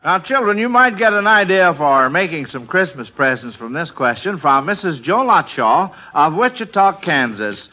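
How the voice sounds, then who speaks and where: An American man hosting a 1940s radio quiz show in a schoolmasterly, encouraging manner. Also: fairly high pitch. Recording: dull vintage 1940s radio recording.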